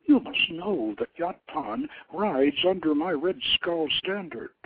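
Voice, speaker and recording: A man's voice: a little high, big pitch swings, slow, creaky, heavy background noise, voice pushed up, exaggerated voice. A man putting on a haughty war goddess. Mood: scornful command. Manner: commanding, scornful. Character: imperious goddess of war, woman, fierce and mocking. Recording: tinny phone line.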